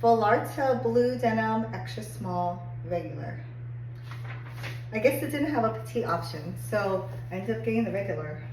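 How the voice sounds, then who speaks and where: A woman getting over a cold and a little hoarse, chatting upbeat through a clothing try-on review with lots of "like"s. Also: mid-range voice, animated, moderate pace, a little mellow. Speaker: fashion haul vlogger. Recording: echoey room, distant mic, faint hum.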